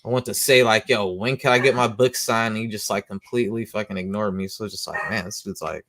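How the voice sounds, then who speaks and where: A man's voice: lowish voice, steady tone, quick pace, no room sound. Guy talking comics, slangy and rambly, dry close sound. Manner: slangy, rambling, lots of "you know what I'm saying"; says he has a loud voice. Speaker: comic book show host. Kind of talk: casual monologue.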